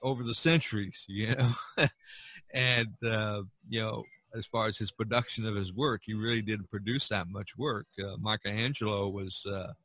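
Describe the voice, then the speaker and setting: A man's voice: fairly low pitch, steady tone, normal speed, a bit bright, no echo. A middle-aged man hosting a podcast, chatting casually and ramblingly about art. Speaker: podcast host.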